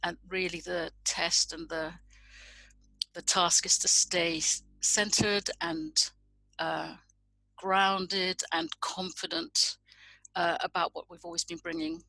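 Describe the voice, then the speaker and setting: A middle-aged woman from Indonesia talks in a reflective conversation. Her voice sits fairly low, with a bright, forceful edge to the tone. The sound is dry and close, and she picks her words with care. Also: takes it slowly, gravelly, no room sound, rough recording. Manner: thoughtful, searching for words. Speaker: guest.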